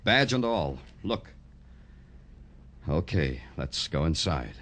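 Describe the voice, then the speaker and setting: A man's voice: very deep voice, very animated, slow pace, very noisy, exaggerated voice. A man with an American accent playing a dry, tough police detective in a 1950s radio crime drama, clipped and wry. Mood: probing. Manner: clipped, wry, hard-boiled.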